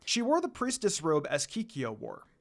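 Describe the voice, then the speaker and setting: Woman narrating a story with lively pitch. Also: normal speed. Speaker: fan fiction reader. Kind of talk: dramatic amateur story narration.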